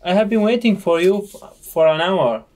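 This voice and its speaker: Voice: mid-range voice, animated, normal speed, a little mellow, close to an everyday voice. A performer voicing a sociable party-planning puppet in an amateur skit, speaking careful, learner-like scripted English. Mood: mildly reproachful. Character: sociable party host among puppet friends.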